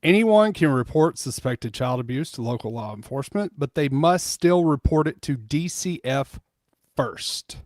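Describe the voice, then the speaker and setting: A man commenting on a livestream, explaining and reading out notes in a measured, matter-of-fact way. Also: a little high, animated, a little mellow, no echo, clean studio sound. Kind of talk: casual chat, reading out notes.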